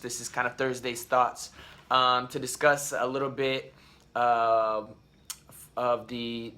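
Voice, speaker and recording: A man in his thirties talks straight to camera as the host of a family vlog. His voice is bright and forward at a middling pitch, and he rambles loosely, with frequent ums and restarts. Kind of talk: casual talk to camera. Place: at home.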